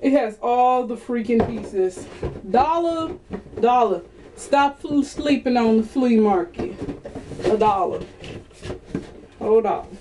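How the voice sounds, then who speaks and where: A lively woman shows off her bargains, talking straight to viewers in a casual, chatty way. Her voice sits high and she takes her time over each word. It is picked up on a webcam in a small bedroom, with a faint hiss behind her. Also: slow, long pauses. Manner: chatty, animated, addresses viewers as y'all. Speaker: vlogger. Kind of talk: casual haul video.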